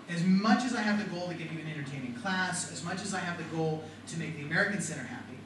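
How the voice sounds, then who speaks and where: A middle-aged man with a fairly high voice lectures a class, animated and quizzing his listeners. He sounds far from the microphone in a very echoey classroom. Noise hangs under the speech. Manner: animated, talks to the class with questions. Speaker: writing and rhetoric teacher. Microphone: far from the mic.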